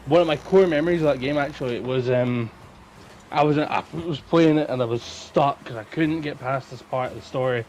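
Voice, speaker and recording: A man's voice: medium pitch, a little fast, a little mellow, slight background noise. A man with a Scottish accent telling casual anecdotes as a guest on a podcast.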